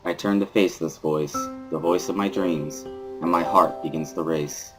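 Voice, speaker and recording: A man's voice: deep voice, fairly even intonation, moderate pace. A man reading a story aloud as a dramatic first-person narrator. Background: guitar music.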